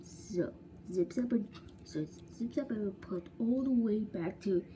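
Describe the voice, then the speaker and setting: A woman narrating a homemade toy story, ad-libbing with halting restarts, recorded on a phone indoors. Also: mid-range voice, mellow, slight background noise.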